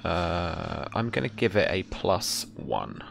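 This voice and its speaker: A man's voice: low voice, big pitch swings, slow pace, creaky, a little hiss or hum. A young man working as game master, casually giving rulings and narrating the scene in an easygoing, informal way. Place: indoor room at home, video call.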